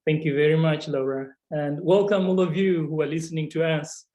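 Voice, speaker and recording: A man with a Kenyan accent, an assistant chaplain, telling his story in a relaxed and playful way. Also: fairly high pitch, a little fast. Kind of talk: casual storytelling on a video call. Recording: roomy echo.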